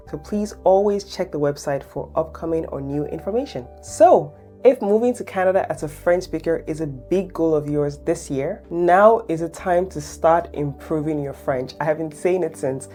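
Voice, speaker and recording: Low-pitched woman from Nigeria hosting a lesson, encouraging tone, faint music underneath. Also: dark tone. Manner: encouraging, checks in with "okay?". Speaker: French teacher and channel host. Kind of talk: explainer lesson to camera.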